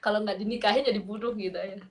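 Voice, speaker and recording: A woman's voice: mid-range voice, steady tone, very fast. A young woman with a mixed Makassar accent, chatting and telling family stories on a video call, laughing easily. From Makassar, Indonesia. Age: young adult. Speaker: video chat stranger. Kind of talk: casual chat.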